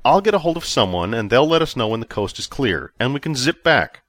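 A man's voice: medium pitch, sing-song, fairly quick, a bit bright, no echo, voice pushed up. A man reading as a breezy, cocky space captain. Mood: coaxing. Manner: breezy, persuasive.

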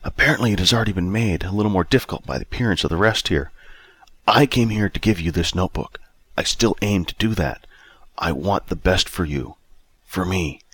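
A man portrays an older time traveler in an audiobook. He speaks in an earnest, measured way, in a dry, close recording. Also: deep voice, a bit bright, slightly rough, no echo. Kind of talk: acted audiobook dialogue.

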